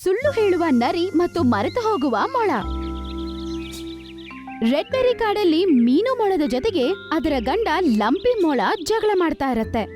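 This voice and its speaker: A woman's voice: extremely high pitch, sing-song, normal speed. A woman giving scripted narration for a children's cartoon story. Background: music.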